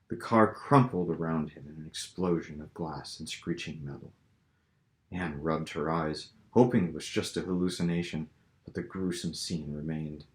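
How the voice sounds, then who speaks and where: A man with a low voice narrates fiction as its author. His delivery is dramatic storytelling, and the room adds clear echo around him. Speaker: author reading own novel. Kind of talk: dramatic audiobook-style narration. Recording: roomy, echoing room sound.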